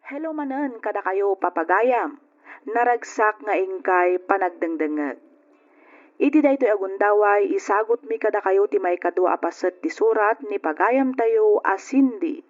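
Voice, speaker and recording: A woman's voice: medium pitch, expressive, normal speed. Ilocano woman narrating, muffled radio sound. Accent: Filipino, Ilocano. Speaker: radio drama host and narrator. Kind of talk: scripted narration. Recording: dull lo-fi radio with background music. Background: faint music.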